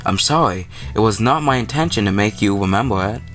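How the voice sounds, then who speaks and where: A man's voice: on the low side, lively intonation, fairly quick, a bit bright. A man voicing an ordinary young man in a visual novel, plain-spoken and defensive. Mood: remorseful. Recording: music playing behind the voice.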